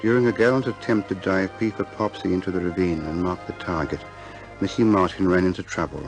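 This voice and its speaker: Low-voiced male radio narrator over music. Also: steady tone, brisk. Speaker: author presenting and narrating. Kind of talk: scripted narration, 1950s radio.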